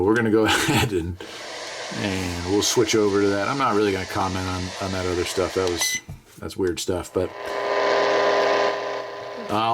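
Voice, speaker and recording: A man's voice: medium pitch, noisy background. An American man talking casually and conversationally, thinking aloud as he gives a hands-on gadget review.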